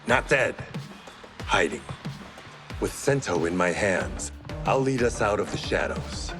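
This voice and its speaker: Deep, menacing clan swordsman. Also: expressive, a little slow, over music. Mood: cold, resolute. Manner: terse, controlled, threatening. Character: menacing, proud male swordsman from a hidden clan. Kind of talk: video game cutscene acting.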